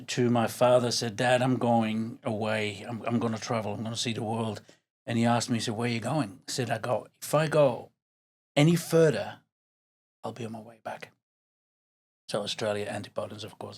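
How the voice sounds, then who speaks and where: A man in his sixties from Belgium with a Belgian accent, chatting as a podcast guest, repeating words as he searches and laughing easily. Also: fairly low pitch. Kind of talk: casual interview chat.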